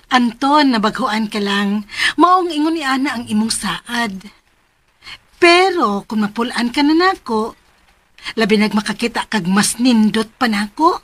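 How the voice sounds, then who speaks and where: High-voiced, teasing young Filipina. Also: female voice, animated, a little slow, pauses often, a bit bright, dry recording. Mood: teasing, coy. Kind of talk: Filipino radio drama acting.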